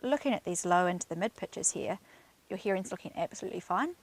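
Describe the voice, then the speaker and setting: A woman's voice: fairly low pitch, animated, fast. A woman audiologist explaining things to a client in an informal, reassuring clinical way.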